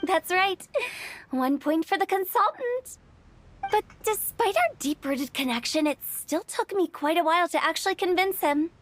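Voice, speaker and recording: A woman's voice: extremely high pitch, lively intonation, normal speed. A woman voicing a playful, cheeky young funeral parlor director in a game, perky and teasing. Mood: breezy, teasing. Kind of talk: animated game cutscene voice acting. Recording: music under the voice.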